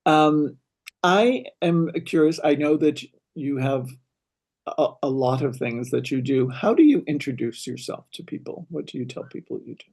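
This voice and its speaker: A man hosting an interview, thoughtful and curious, with filler pauses as he asks questions. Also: fairly high pitch, creaky, darkish tone. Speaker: interviewer, host. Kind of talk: conversational interview.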